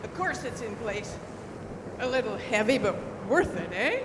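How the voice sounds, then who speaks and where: An actor playing a cheery, rough-tongued swindler woman with colloquial speech in an old-time radio drama. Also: medium pitch, big pitch swings, moderate pace, close to an everyday voice. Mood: sly, pleased. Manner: colloquial, ungrammatical. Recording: echoey hall, loud background noise.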